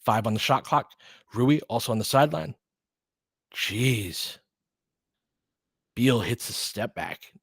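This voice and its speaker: A man's voice: mid-range voice, expressive, slow pace, vocal fry, long pauses, husky, no room sound, clean studio sound. A man giving informal live basketball play-by-play with bits of analysis, in clipped, slangy, conversational phrases as a partisan fan. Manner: partisan fan of one team.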